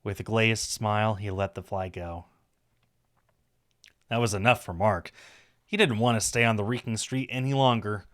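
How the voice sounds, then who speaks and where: A man's voice: lowish voice, steady tone, normal speed, long pauses, fairly bright tone. A man reading a visual novel aloud on a stream, narrating the story with casual asides.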